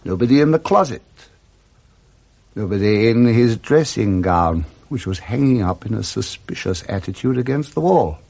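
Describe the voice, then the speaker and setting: Male narrator, dramatic literary storytelling, deliberate pace and wide expressive range, slightly husky, suspenseful phrasing. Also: on the low side.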